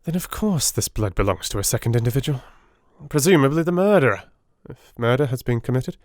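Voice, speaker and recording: A man playing a brilliant, commanding Victorian detective in an audiobook, questioning briskly and with authority. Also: medium pitch, no room sound, studio quality, voice pushed up, lightly acted. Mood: brisk deduction. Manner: rapid, animated, authoritative questioning.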